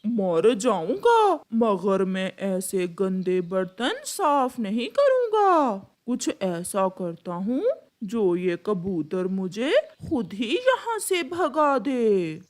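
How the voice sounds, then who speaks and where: A woman's voice: mid-range voice, big pitch swings, drawn-out words. A woman voicing a lazy, scheming male crow in a cartoon story, with a grumbling, sly delivery. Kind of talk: Hindi animated moral-story acting.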